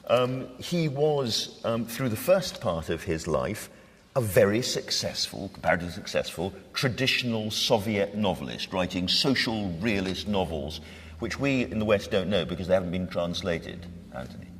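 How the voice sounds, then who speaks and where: A man hosting a literary discussion, putting questions and prompts to his guests in a husky voice. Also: low-pitched, animated, normal speed. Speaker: host, panel moderator. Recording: echoey hall with long reverb.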